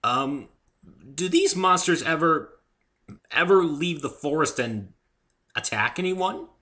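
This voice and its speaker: Bright-voiced, exasperated young man acted by a man. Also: on the high side, lively intonation, takes it slowly, voice pushed up, exaggerated voice. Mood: nervous, incredulous. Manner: questioning, dry, incredulous. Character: wary, exasperated young man, bewildered storybook narrator-hero. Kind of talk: amateur dramatic story reading.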